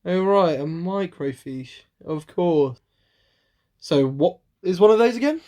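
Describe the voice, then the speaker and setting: Actress as dim, impatient comic sidekick for radio play, lowish voice, swooping intonation. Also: female voice, lively intonation, slow, mellow. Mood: puzzled. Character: impatient, cheeky, dim-witted sidekick Sid. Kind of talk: amateur radio play acting.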